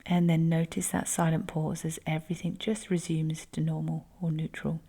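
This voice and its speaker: A woman instructor leading a guided breathing exercise, speaking gently and unhurriedly. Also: sits low, flat delivery, normal speed, vocal fry, slightly rough.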